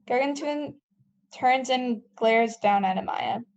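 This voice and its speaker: A woman's voice: a little high, slow pace, muffled recording. A woman narrating scripted stage directions for a table read.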